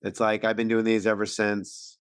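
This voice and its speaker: A man co-hosting a podcast, riffing wryly in relaxed, casual conversation. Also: lowish voice, moderate pace, clear, resonant tone, dry recording, studio quality. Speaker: podcast co-host.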